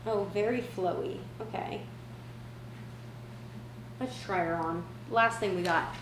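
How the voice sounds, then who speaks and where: A woman's voice: medium pitch, takes it slowly. A woman in her twenties talking casually to camera in a chatty, slangy way, as in a home vlog. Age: 20s. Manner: chatty, informal, slangy asides. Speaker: vlogger. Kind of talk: casual vlog chat, try-on haul. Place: indoors, open-plan home kitchen and living area. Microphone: camera mic a few feet away. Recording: roomy echo with noticeable background noise.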